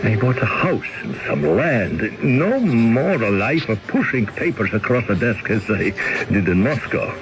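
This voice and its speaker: An actor playing a restless exile in a radio drama, who speaks wistfully and hesitates often. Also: male voice, on the low side, big pitch swings, a little slow. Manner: wistful, hesitant, full of fillers. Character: restless male exile yearning for money and his wife. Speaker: exiled former official. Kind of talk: 1970s radio drama acting. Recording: hissy old radio broadcast.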